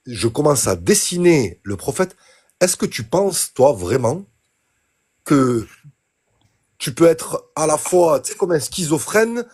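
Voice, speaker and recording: Man, husky, lively pitch, stammering, rambling. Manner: stammering, rambling, builds a long question. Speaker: debate participant. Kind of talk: heated live debate.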